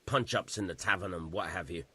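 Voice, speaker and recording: An actor playing a gruff, weary village bailiff in a video game, blunt and grudging in how he talks. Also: low-pitched, fairly quick, bright, forceful tone, full character voice. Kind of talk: video game cutscene acting.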